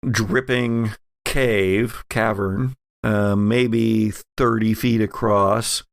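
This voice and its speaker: Guy game mastering a horror rpg, real slow and halting, lots of vocal fry. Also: lowish voice, fairly even intonation, no echo, studio quality. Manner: halting, pausing mid-phrase to find words. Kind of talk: improvised scene narration for a horror tabletop game.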